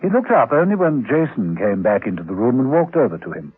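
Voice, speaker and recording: A man's voice: medium pitch, big pitch swings, a little fast, husky. A man narrating a scripted radio drama as its storyteller. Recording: muffled vintage radio, faint music.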